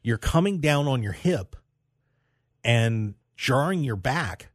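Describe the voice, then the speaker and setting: An American man hosting a sports talk radio show, telling stories in an animated way with emphatic repetition. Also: medium pitch, slow, no room sound, clean studio sound.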